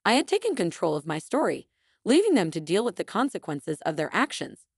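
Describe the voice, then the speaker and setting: A woman reading a scripted first-person story in a dramatic, expressive, theatrical way. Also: lowish voice, fairly quick, dry recording, studio quality. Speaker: story narrator.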